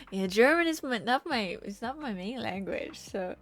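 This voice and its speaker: A woman's voice: fairly high pitch, very animated, a little slow, a bit bright, soft background music. A woman streaming while she paints, chatting casually with her viewers and often half-whispering to herself as she works. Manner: chatty, reacting to chat with laughs. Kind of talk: casual chat while drawing.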